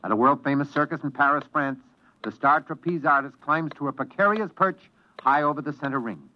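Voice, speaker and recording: A man's voice: fairly high pitch, brisk. A man announcing on 1950s radio in a dramatic, scene-setting scripted narration. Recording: muffled, noisy old radio recording.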